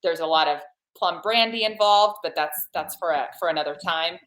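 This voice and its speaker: A woman in her forties from Serbia, a podcast guest telling her life story in an earnest, lively way, often restarting her phrases, over a video call. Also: on the low side, normal speed. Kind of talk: personal storytelling in a podcast interview. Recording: roomy video call audio.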